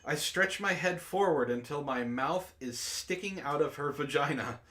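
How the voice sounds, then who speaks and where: A man's voice: a little high, normal speed, bright, forceful tone. A man hosting a book club, reading prose aloud dramatically and breaking off into exasperated asides.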